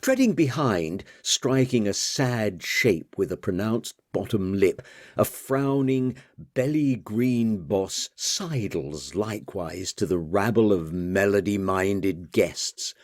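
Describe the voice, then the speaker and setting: A man reading literary fiction as an audiobook narrator, with a measured, deliberate delivery. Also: fairly low pitch, expressive, darkish tone, no room sound, clean studio sound.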